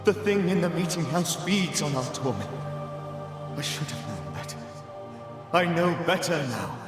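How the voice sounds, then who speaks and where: An actor playing the mournful, protective ghost of a dead husband in a video game, anguished and halting. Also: male voice, on the high side, lively intonation. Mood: anguished resolve. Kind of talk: dramatic video game acting. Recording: heavy echo with music behind.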